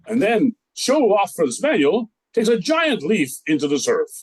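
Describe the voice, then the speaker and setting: A man's voice: high-pitched, wildly swooping pitch, moderate pace, a little mellow, slightly rough, no echo. An older man narrating a scripted radio play in a storytelling style.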